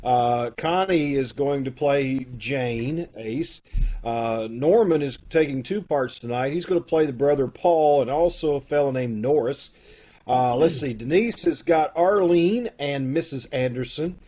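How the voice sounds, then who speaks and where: A man's voice: mid-range voice, a little slow, warm tone. A man hosting a casual chat over a video call from home, friendly and loose with fillers. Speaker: host of a community radio troupe.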